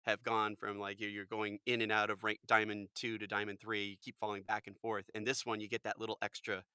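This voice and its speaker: A man in his forties with a bright, forceful tone and a voice a little lower than he describes it. He chats animatedly, slipping into lively quoted voices. The sound is dry and close. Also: a little fast, clear, resonant tone, dry recording. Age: 40s. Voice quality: fairly high-pitched voice. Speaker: podcast guest, voice actor. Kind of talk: casual chat.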